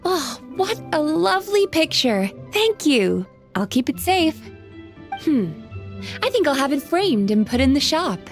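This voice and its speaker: A woman's voice: high voice, big pitch swings, measured pace, faint music behind, full character voice. A woman playing a gracious, cheerful young shopkeeper in a video game, with a polite and appreciative manner. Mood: delighted, polite. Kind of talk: video game character dialogue.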